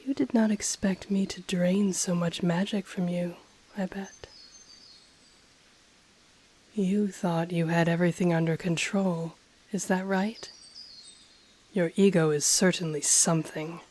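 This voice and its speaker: A woman voicing an ancient female dragon in an intimate audio roleplay, breathy and often whispering, haughty yet tender, with teasing, seductive, formally worded speech. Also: lowish voice, fairly even intonation, darkish tone. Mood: amused, predatory. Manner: slow, teasing, formal archaic phrasing.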